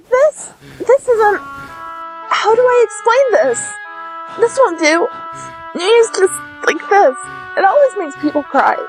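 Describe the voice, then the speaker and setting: Very high-voiced, flustered sweet girl. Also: exaggerated voice, poor audio. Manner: hesitant, squeaky, fretful. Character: sweet, flustered, tender-hearted teenage girl. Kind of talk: amateur anime-style radio drama acting.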